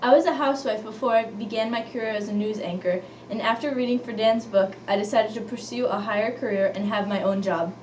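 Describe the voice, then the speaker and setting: A woman's voice: mid-range voice, brisk, hardly any pauses, darkish tone, lightly acted. A woman playing an earnest 1950s news anchor, reading scripted items in an amateur mock newscast. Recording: echoey room, distant mic, faint noise.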